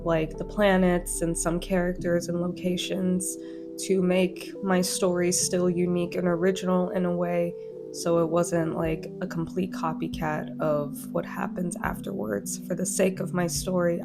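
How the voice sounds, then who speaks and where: A woman with a slightly hoarse voice from a cold, making an earnest, heartfelt announcement to her channel's followers. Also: fairly low pitch, level tone, a little slow. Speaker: channel host and fan fiction author. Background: plucked guitar music.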